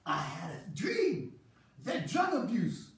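A woman voicing a hearty, dreamy Rasta man who preaches peace in a slow, loud, drawn-out way on an outdoor festival stage. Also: fairly low pitch, wildly swooping pitch, vocal fry, a little hiss or hum, pitched below the performer's own voice, heavily acted. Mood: visionary. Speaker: festival performer. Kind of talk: comic stage monologue, visionary speech. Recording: echoey stage sound from a distant mic.

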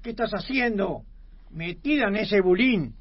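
A man's voice: high-pitched, animated, unhurried, breathy edge, lo-fi sound, heavily acted. A man with a Rioplatense accent playing a jealous, hot-headed husband, slangy and agitated, in radio comedy. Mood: indignant. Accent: Rioplatense Argentine. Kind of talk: comedic radio theatre acting.

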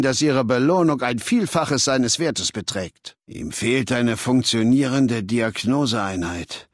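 An actor playing a ruthless, imperious male legion leader in a video game, with a measured, commanding, contemptuous manner. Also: mid-range voice, no room sound, clean studio sound, natural voice. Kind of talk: scripted game dialogue.

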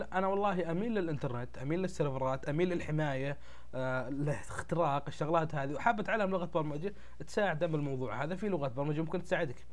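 Very fast-talking young Gulf Arabic man, congested. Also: fairly high pitch, darkish tone. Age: young adult. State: congested, changed voice from a tired throat. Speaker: YouTube tech channel host. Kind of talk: casual explainer talk to camera. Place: small indoor room at a desk, webcam recording.

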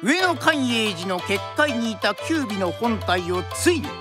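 A woman's voice: fairly high pitch, animated, a little slow, over music. A woman playing a storyteller narrating a period radio drama in traditional Japanese style. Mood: storytelling.

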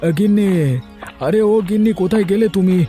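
Man acting high-pitched nagging farmer husband. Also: fairly even intonation, a little fast, warm tone, faint music behind, close to an everyday voice. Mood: calling out. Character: hungry, nagging, short-tempered farmer husband. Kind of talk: cartoon voice acting.

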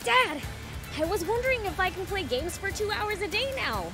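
A woman playing a cocky, excitable young demon prince in a video game, brash and boyish. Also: very high-pitched, moderate pace, full character voice. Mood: pleading. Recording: music and vehicle sounds behind.

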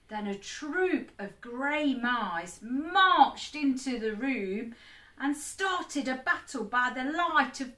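Very slow, expressive woman in echoey room. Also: a little high. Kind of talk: storybook reading for children. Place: indoors at home.

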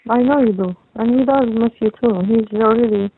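A woman's voice: on the high side, normal speed. Rambling young woman on tinny phone. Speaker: caller talking with partner. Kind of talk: casual phone chat. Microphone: telephone call. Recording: tinny phone line with faint noise.

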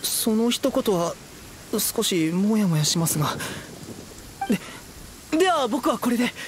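A woman's voice: mid-range voice, sing-song, measured pace, noisy background. A woman playing a timid, polite young commoner with a hesitant, earnest manner, in anime game style. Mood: flustered.

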